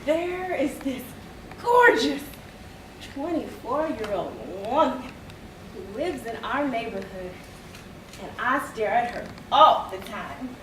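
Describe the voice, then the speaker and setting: A woman's voice: very high voice, lively intonation, a little slow, pushed and bright, heavily acted. A woman performing a theatrical monologue as a wounded, frank young girl, with a childlike, dramatic, emphatic delivery. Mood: shy wonder. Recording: echoey room, distant mic, faint noise.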